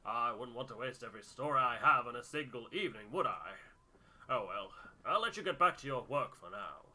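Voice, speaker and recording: Jovial older expedition chap, acted by a man, game character, hearty, wordy, posh, piercingly bright tone. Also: medium pitch, expressive, brisk, breathy edge, heavily acted. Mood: hearty, chummy. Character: jovial older male expedition storyteller, old-fashioned gentlemanly chap.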